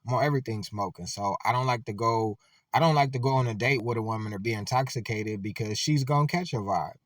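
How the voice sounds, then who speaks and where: A man's voice: mid-range voice, quick pace, dry recording, distant mic. A man giving loose, conversational reaction commentary, full of filler words.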